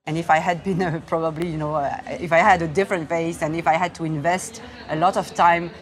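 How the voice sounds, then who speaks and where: A woman, an investor speaking in an onstage interview, measured and halting with many fillers. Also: deep voice, fairly even intonation. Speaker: investor, fund founder. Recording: crowd murmur in the background.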